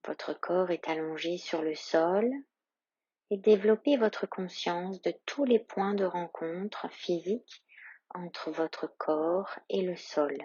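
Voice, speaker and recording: Woman, low-pitched breathy voice, very slow calm pacing, guided relaxation instructor, soft delivery. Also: no room sound, highs cut off. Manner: calm, soothing, measured with long pauses. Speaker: yoga nidra instructor.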